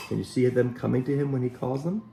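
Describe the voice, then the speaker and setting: A man reading a children's story aloud in an expressive, dramatic way, pausing to speak directly to young listeners. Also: mid-range voice, gravelly, slight background noise, low-quality recording. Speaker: Sunday school storyteller. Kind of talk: animated children's story read aloud with asides. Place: at home.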